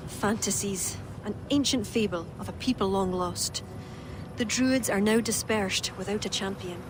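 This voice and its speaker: A woman playing a solemn, regretful druid, speaking in earnest, measured, lyrical phrases in a dramatic video game. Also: medium pitch, close to an everyday voice. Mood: wistful confession. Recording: clear outdoor ambient noise behind voice.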